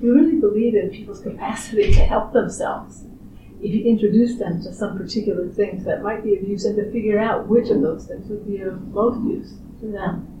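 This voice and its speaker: A woman in her sixties, a researcher, answering interview questions in a measured, thoughtful way with frequent pauses. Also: medium pitch. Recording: roomy, distant, muffled recording with clear background noise.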